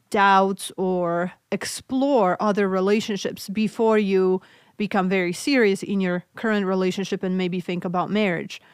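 Polish accent woman on a podcast, smooth clean voice, dry close mic, blunt and jokey. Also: mid-range voice, no room sound. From Poland. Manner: frank, joking, thinking aloud with restarts. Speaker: podcast co-host, mental health nurse practitioner. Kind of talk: casual podcast chat.

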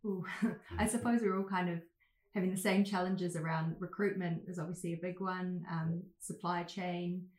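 A woman with a New Zealand accent speaks as a podcast guest, relaxed and easy. Her voice sits fairly low and stays flat, and the room sounds roomy and echoing. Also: normal speed. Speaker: marketing head guest. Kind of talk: casual podcast interview. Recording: roomy echo.

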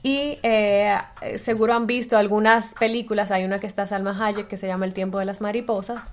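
A woman with a Dominican accent talks casually on a chat show, her voice sitting at an ordinary middle pitch. She works through her explanation with plenty of fillers and self-corrections, and faint music plays behind her. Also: fairly even intonation, a little fast. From Dominican Republic. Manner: explains with many fillers and restarts. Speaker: co-host.

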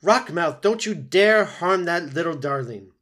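A man voicing an old female goose who is bossy and caring, in a high, lilting, motherly voice. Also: sing-song, normal speed, fairly bright tone. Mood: fierce.

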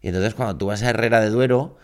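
A young man with a fairly low voice rambles through anecdotes on a comedy podcast. He teases his co-host, and his voice climbs high and loud when he gets worked up. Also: quick pace. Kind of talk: casual comedy chat.

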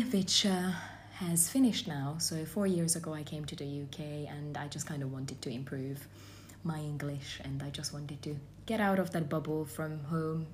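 A woman's voice: deep voice, mellow, slight background noise. A woman in her twenties from Hungary with a Hungarian accent, co-hosting a podcast in casual, giggly chat full of "like". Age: 20s. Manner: giggly, chatty, lots of "like". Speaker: podcast co-host.

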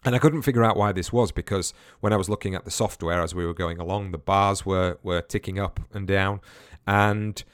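Man, podcast host, deep voice, dry recording, rambling casual talk. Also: brisk, smooth, dry recording. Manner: chatty, rambling with many restarts. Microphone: remote-recording podcast setup with headphones.

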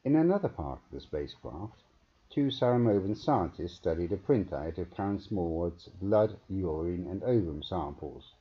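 A man reading a story aloud as a dramatic, deliberate audiobook narration, on a muffled recording. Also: deep voice, lively intonation, husky. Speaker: author reading own story. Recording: dull, muffled narrow-band recording.

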